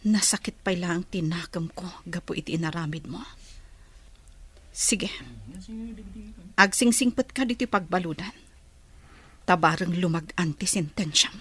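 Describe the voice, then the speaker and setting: A woman's voice: on the low side, measured pace, long pauses, a bit bright, breathy edge. A woman with an Ilocano Filipino accent acting a forgiving, dutiful young daughter in a radio drama. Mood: hurt, sober. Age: young adult.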